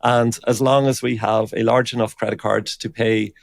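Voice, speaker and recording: A man explains technical matters conversationally, with a creaky edge to his voice. He talks in a halting, informal way, working out his thoughts aloud, and the sound is close and dry. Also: fairly low pitch, level tone, dry recording. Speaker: engineering director, interview guest.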